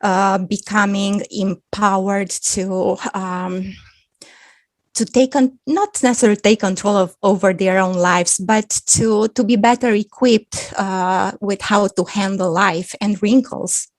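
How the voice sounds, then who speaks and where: A woman in her fifties from Romania, speaking with a Romanian accent as a guest on a video-call chat, thoughtful and quick to laugh. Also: mid-range voice, steady tone, no room sound. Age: 50s. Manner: slow, thoughtful, laughs often. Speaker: guest author. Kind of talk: casual video-call interview.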